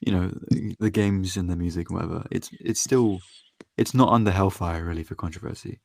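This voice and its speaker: A man co-hosting a casual podcast, easygoing and agreeable, with a raspy voice today. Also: deep voice, a little fast, darkish tone. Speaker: podcast co-host, musician and producer. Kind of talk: casual chat.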